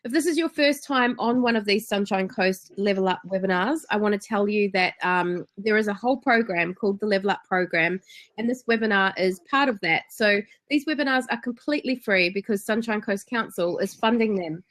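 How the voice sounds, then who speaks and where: A woman's voice: medium pitch, brisk, a bit bright. A woman with a New Zealand accent hosting a business webinar in an enthusiastic, chatty way. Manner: enthusiastic, chatty, frequent ums. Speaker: webinar host.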